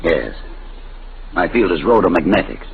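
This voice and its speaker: An actor playing a courteous, earnest old inventor, speaking politely and with reserve in a classic radio drama. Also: male voice, low voice, sing-song, normal speed, creaky, full character voice. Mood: earnest, reserved. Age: older. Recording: muffled, noisy vintage radio recording.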